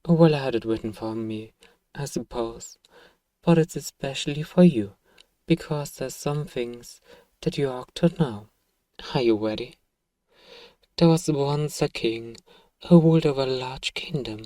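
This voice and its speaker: A man playing an evil emperor who is a soft-spoken, paternal and self-righteous father, speaking gently and formally in an ASMR bedtime role-play. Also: mid-range voice, no echo, lightly acted. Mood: soothing, encouraging. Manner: gentle, measured, formal, persuasive.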